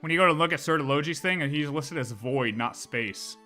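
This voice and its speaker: A man's voice: a little high, fairly quick, sharp tone, soft background music. A man chatting casually as a game livestreamer, emphatic and slangy, often repeating his phrases.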